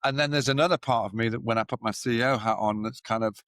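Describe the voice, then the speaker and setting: A man's voice: medium pitch, fast, a bit bright, no room sound, studio quality. A man who founded and runs a company, a podcast guest speaking in a reflective way, thinking aloud with hedging fillers. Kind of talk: casual conversational interview.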